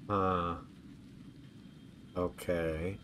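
A man's voice: deep voice, little pitch movement, very slow, fairly bright tone, slight background noise. A man giving casual, laconic gameplay commentary as a streamer, in short muttered remarks. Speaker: game streamer.

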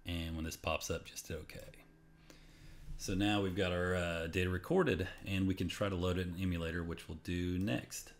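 A man's voice: deep voice, level tone, normal speed, slight background noise. A man presenting a casual, unscripted how-to as a voice-over, explaining step by step in an informal way. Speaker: tutorial presenter. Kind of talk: casual screen-recorded how-to narration. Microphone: voice-over on a screen capture.

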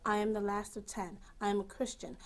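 A sixteen-year-old girl reciting a spoken-word testimony in a steady, declamatory way. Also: mid-range voice, a little fast. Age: teens.

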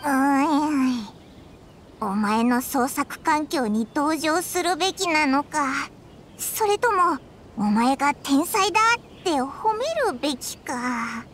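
Very high, cheeky little fairy. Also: female voice, lively intonation, slow pace, slight background noise. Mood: incredulous. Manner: exclamatory, boyish blunt phrasing. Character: small childlike fairy companion, cheeky, blunt, rough-spoken. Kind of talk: Japanese game cutscene acting.

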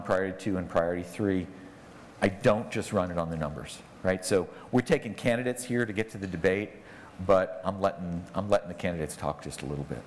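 A man's voice: deep voice, slight background noise. Man giving a talk in a big hall. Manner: conversational, often tags lines with "right?". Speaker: conference speaker. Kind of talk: conference talk with audience Q&A. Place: large conference hall on stage. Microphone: lapel mic. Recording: long reverb of a large hall.

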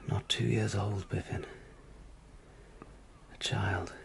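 Low, creaky ailing novelist. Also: male voice, fairly even intonation, takes it slowly, a little hiss or hum, full character voice. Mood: weak, grieving. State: weak and ill. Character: gravely ill failed novelist, grieving, wistful, self-doubting man. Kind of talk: radio drama acting.

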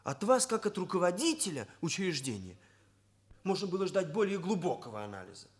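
A man's voice: high-pitched, very animated, fairly quick. An actor playing a self-serious young male hairdresser in a Soviet radio drama, with formal, bookish phrasing. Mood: stiffly critical. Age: young adult.

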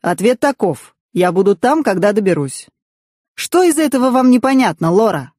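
A woman's voice: mid-range voice, sing-song, normal speed, a little mellow, dry recording, clean studio sound, voice pushed up. A woman voicing an arrogant, wealthy, angry businessman in an audiobook, curt and scornful. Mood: curt, impatient.

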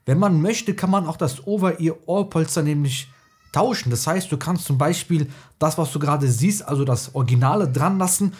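A man's voice: on the high side, moderate pace, darkish tone, husky. Casual man reviewing tech gear. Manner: colloquial, explanatory. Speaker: tech reviewer, video host. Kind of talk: casual product review to camera.

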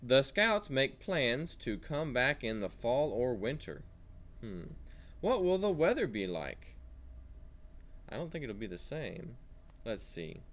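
A man teaching kindergarteners with an animated read-aloud, asking simple questions as he goes. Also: mid-range voice, slow. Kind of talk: read-aloud lesson for young children.

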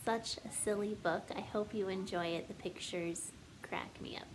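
A woman in her thirties reading aloud and talking to young children like a teacher, in an expressive, deliberate, sing-song manner. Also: mid-range voice, noisy background. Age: 30s. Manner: slow, deliberate, animated teacher delivery addressing children. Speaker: first grade teacher hosting a children's story time. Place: living room at home, indoors.

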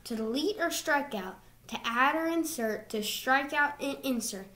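A woman reading procedural rules from slides in a measured, scripted narration. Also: high voice, lively intonation, bright, forceful tone.

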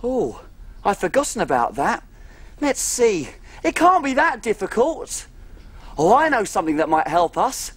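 A woman's voice: medium pitch, very animated, unhurried, husky, no echo. An actress playing a tiny, cheerful female cook who tells stories in a bubbly, sing-song children's TV manner. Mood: excited. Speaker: little cook. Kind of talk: children's TV acting.

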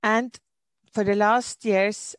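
A middle-aged Norwegian woman, a library director, giving a webinar talk in careful, slightly halting English with a Norwegian accent. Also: medium pitch, fairly even intonation, no room sound. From Norway. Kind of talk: webinar presentation. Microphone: computer mic on a video call.

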